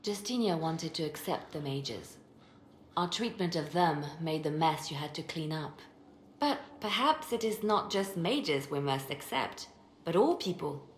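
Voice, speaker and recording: Idealistic spymaster, echoey. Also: female voice, low voice, animated, unhurried. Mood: hopeful. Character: idealistic, determined female spymaster and religious reformer. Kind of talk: video game dialogue acting. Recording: echoey, faint background noise.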